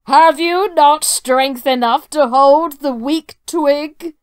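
A woman doing a slow booming male giant. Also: no echo, studio quality. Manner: slow, loud, drawn-out delivery.

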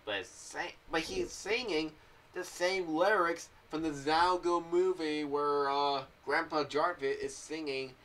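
A man's voice: on the high side, fairly even intonation, slow, fairly bright tone. A young adult man giving casual, rambling commentary as he shares theories on a series. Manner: rambling, loosely phrased. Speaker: YouTuber reviewing an audio drama series. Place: small home room. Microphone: condenser mic on boom arm with pop filter, slightly off to the side. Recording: slightly distant mic, faint background noise.

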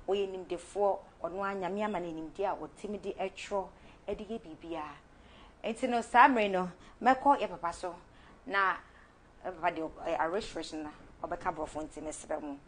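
A young Ghanaian woman hosting a studio talk show, lively and encouraging, mixing Twi with English, on a lapel mic. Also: fairly low pitch, moderate pace, slight background noise.

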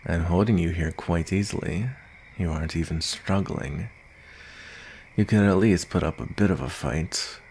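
A man voicing a gruff werewolf bounty hunter with a soft side, in an intimate ASMR roleplay, with a deep gravelly voice and a murmuring, deliberate delivery. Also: slight background noise. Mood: puzzled, softening. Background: nature ambience.

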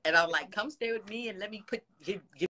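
A woman in her sixties talks into a phone held close, her voice bright and clear. She gushes and encourages in an easy, chatty way. The call comes from an office. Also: medium pitch, quick pace. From United States. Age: 60s. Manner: gushing, warm praise with frequent laughs. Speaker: fan on a video call. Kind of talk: casual chat. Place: office with ceiling lights. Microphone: phone camera held close.

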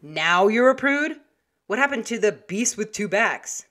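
A woman's voice: mid-range voice, expressive, measured pace, fairly bright tone. A woman playing a sarcastic, abrasive female monster as a tough cop in puppet comedy, biting and snide. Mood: scornful. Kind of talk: puppet comedy parody of crime drama.

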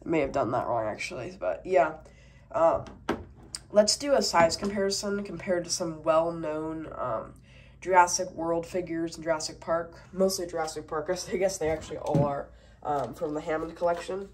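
A woman's voice: sits low, normal speed, noisy background. Stuffy-nosed woman reviewing toys. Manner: rambling, many fillers, talking while handling toys. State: stuffed up from a cold. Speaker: toy reviewer. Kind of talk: casual toy review.

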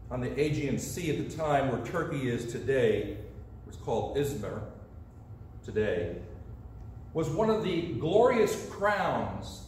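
A man's voice: mid-range voice, a little mellow, a little hiss or hum. A middle-aged man preaching a storytelling sermon in a church sanctuary, gesturing with both hands as he speaks. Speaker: pastor. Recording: distant echoey sanctuary sound.

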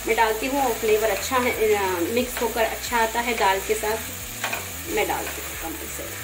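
Woman explaining a recipe in her kitchen, pan sizzling loud behind her, echoey. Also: fairly high pitch, big pitch swings, normal speed. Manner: gentle, instructive, conversational. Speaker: home cook. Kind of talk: cooking demonstration voice-over. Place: home kitchen. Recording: roomy kitchen, frying noise. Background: sizzling frying pan.

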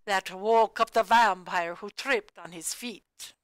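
Female storybook reader, crisp and close-sounding, expressive sing-song delivery of cumulative rhymes for young children. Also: mid-range voice, slow, dry recording. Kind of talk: animated children's read-aloud of a rhyming picture book.

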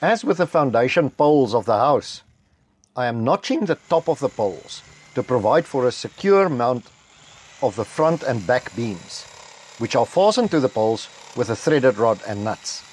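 A middle-aged South African man with an Afrikaans background, narrating a homestead building vlog in a measured, matter-of-fact voice-over. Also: mid-range voice, big pitch swings, a little mellow, a little hiss or hum. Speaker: homestead vlogger. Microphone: close voice-over mic.

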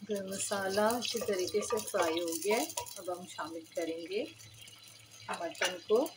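Female cooking host, middle pitch, calm step-by-step instructions, noisy kitchen recording. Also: a little slow. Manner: plain instructional, addresses viewer directly. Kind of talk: step-by-step recipe voice-over.

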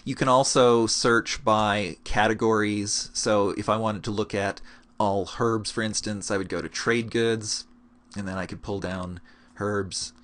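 A man with a fairly low voice talks through an on-screen demo. His delivery is relaxed and explanatory, with plenty of small hesitations as he clicks around. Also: a little slow. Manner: relaxed, explanatory, with many fillers. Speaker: game gold-making guide. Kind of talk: screencast walkthrough.

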